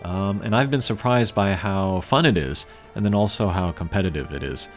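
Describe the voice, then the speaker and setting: A man giving casual, unscripted gameplay commentary as a channel host, thinking aloud in a measured way. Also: deep voice. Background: game music.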